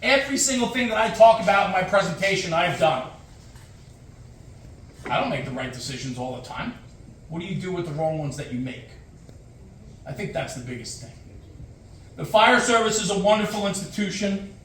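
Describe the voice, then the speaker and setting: A man's voice: sits high, animated, moderate pace, long pauses, a bit bright. A man giving a motivational conference talk as a guest speaker, emphatic, in short punchy phrases with rhetorical questions and repetition. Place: echoey indoor room, speaking to an audience. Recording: echoey room, distant mic, faint noise.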